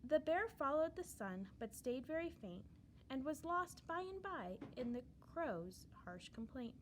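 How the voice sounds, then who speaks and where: A woman reading a children's storybook aloud in verse, with expressive, dramatic emphasis. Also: high voice, measured pace, slight background noise, poor audio.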